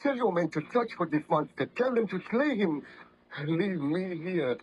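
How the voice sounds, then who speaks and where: A man's voice: high-pitched, expressive, normal speed, darkish tone. An actor playing an anguished, guilt-ridden nobleman scientist in an old-time radio horror drama, speaking in formal, fervent melodrama. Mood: anguished, urgent. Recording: vintage radio drama recording, slightly muffled.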